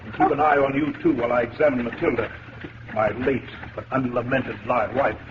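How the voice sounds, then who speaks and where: An actor playing a cold, murderous professor who speaks with mocking, precise politeness in an old radio mystery. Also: male voice, medium pitch, steady tone, a little fast, close to an everyday voice. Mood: smug menace. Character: cold, smug, murderous male professor and wife-killer. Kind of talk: 1950s radio mystery acting. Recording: muffled, noisy vintage radio recording.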